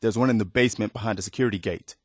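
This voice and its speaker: Casting: weary, earnest male militia leader for a video game; lowish voice, close dry sound, sincere and plain-spoken. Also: rapid-fire, breathy edge, no echo. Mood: matter-of-fact, friendly. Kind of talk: video game dialogue acting.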